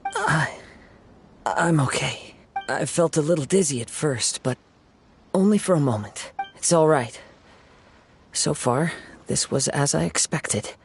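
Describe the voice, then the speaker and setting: A man playing a calm, resolute young wandering samurai in a video game, speaking gently and formally. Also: a little high, unhurried, slight background noise. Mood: composed, thoughtful. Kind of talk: video game character acting. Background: clear background music.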